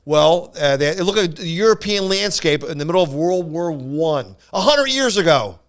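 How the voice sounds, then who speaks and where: Man, slightly high smooth voice, casual podcast storyteller, rambling, stammering, repetitive. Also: lively intonation, normal speed. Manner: rambling, stammering, repeats himself. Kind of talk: casual anecdote telling.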